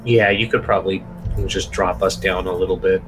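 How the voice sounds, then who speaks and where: A man with a fairly low voice co-hosts a game stream in casual chat. Faint music sits behind him. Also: fairly even intonation, a little fast, low-quality recording.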